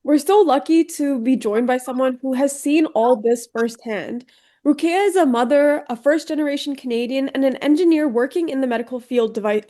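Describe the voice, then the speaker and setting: A woman's voice: sits high, dark tone. A woman hosting a podcast, reading an upbeat introduction and asking friendly questions. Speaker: podcast host.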